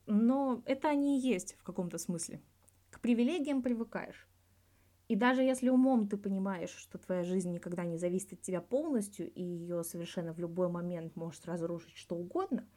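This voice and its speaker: A woman's voice: medium pitch, moderate pace, warm tone, close to an everyday voice. A woman voicing a frank, colloquial male hockey star answering in an audiobook interview. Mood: reflective. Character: blunt, reflective male Russian hockey star giving an interview.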